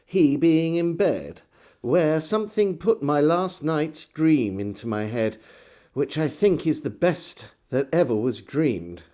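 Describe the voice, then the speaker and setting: Slow, expressive English male storyteller. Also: mid-range voice. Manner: measured, theatrical delivery. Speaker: storyteller and host. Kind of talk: dramatic diary reading, scripted narration.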